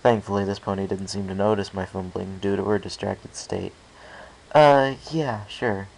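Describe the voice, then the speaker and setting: Low, gravelly man reading a story. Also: monotone, brisk, a little mellow, poor audio. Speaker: amateur audiobook narrator. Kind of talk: scripted first-person story narration. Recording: faint background hiss.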